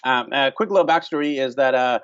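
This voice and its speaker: A man hosts a relaxed explanatory chat, his voice sitting at an ordinary middle pitch. He often pauses with ums and restarts his sentences. The recording sounds slightly dull and muffled. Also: clean tone. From Vancouver, Canada. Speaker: host. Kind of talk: casual explanatory talk.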